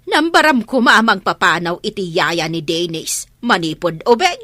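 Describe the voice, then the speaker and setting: A woman's voice: lowish voice, expressive, normal speed, sharp tone, no echo. A woman with an Ilocano accent playing an older, sensible mother who questions and reasons, in an audio drama. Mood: questioning. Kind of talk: Ilocano radio drama acting.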